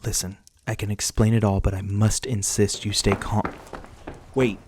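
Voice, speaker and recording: A man playing a reclusive, protective vampire lord in an ASMR roleplay, speaking formally and courteously in measured words. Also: fairly low pitch, little pitch movement, darkish tone, a little hiss or hum, lightly acted. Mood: urgent, pleading. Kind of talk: ASMR roleplay acting, addressing the listener.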